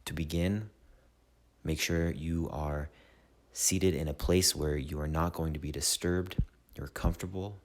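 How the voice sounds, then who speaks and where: A man leading a guided meditation in a soothing, measured way, with long pauses between short phrases. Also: rumbling low. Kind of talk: slow guided meditation narration.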